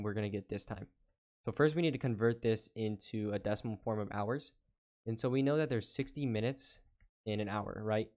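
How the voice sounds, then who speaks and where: A man with a lowish voice talks through a math problem as a tutor. He explains each step patiently, pausing with small fillers and slowing down while he reads out numbers. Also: darkish tone. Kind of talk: whiteboard lesson walkthrough.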